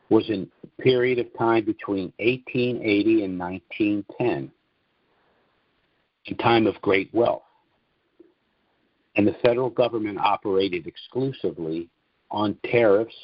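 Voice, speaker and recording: A man's voice: on the low side, breathy edge, no echo, highs cut off. A man hosting a conference call, lecturing in an opinionated way and posing rhetorical questions. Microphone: phone or call line.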